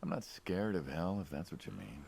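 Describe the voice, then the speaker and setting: A man's voice: extremely low pitch, very animated, moderate pace, vocal fry, a little mellow, a little hiss or hum, heavily acted. An actor playing a mob hitman at odds with his old friend, insistent, emotive and colloquial, in a video game. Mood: pleading, frustrated. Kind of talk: naturalistic video game acting.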